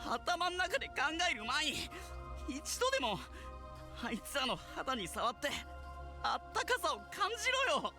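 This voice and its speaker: An actor playing a rough-talking, hot-blooded young male animal keeper in a visual novel, with blunt, emotional delivery. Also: sits high, sing-song, fast. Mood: impassioned pleading. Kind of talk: Japanese visual novel voice acting. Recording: music behind the voice.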